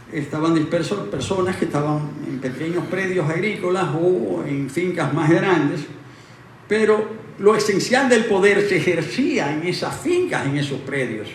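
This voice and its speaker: A man explaining history in conversation, haltingly and reflectively, often repeating phrases. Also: a little high. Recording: very echoey room, distant mic, faint noise.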